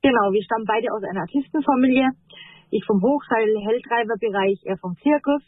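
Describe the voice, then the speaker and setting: A woman's voice: fairly high pitch, a little fast, slightly rough, no echo. A female singer talking casually as a guest over the phone, chatty and open. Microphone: telephone. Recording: tinny phone line.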